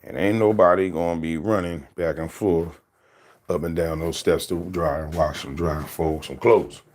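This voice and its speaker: A man in his thirties with a deep voice, telling loose, rambling stories to his camera as a casual vlog and laughing often. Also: fairly even intonation, moderate pace, a little mellow. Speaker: vlogger.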